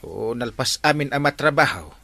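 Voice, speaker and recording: A man's voice: medium pitch, lively intonation, moderate pace, creaky, sharp tone, no echo, full character voice. A man playing an easygoing village handyman in a comedy radio drama, given to muttered asides. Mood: earnest.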